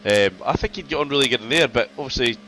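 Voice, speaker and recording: A man's voice: mid-range voice, animated, quick pace, pushed and bright, slight background noise. Congested man calling a race. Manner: chatty, repeats phrases, banters with co-commentator. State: congested with a cold. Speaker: sim racing commentator. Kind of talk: casual race commentary.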